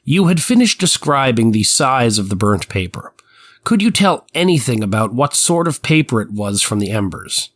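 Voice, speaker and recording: A man's voice: medium pitch, very animated, moderate pace, a bit bright. A man playing a methodical, firm prosecuting attorney who puts formal, probing questions in a courtroom reenactment.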